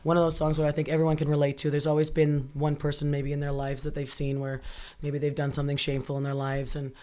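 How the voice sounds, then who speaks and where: A woman who sings and writes songs for a band, talking casually in an interview with a low voice and an upbeat, laughing manner. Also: completely flat delivery, quick pace, mellow. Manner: upbeat, chatty, laughs often. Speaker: band singer and songwriter.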